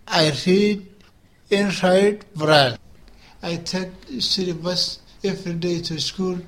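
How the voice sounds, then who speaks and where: A man, giving a personal testimonial as an adult student in slow, careful, simple learner English. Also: high-pitched. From Somalia. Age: 30s.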